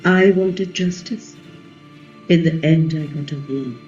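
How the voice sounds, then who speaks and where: Fierce, slow-spoken ancient queen acted by a woman. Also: low-pitched, darkish tone. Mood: solemn. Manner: slow, declamatory, emphatic. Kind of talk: dramatic play reading, radio play. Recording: muffled, roomy recording with music behind.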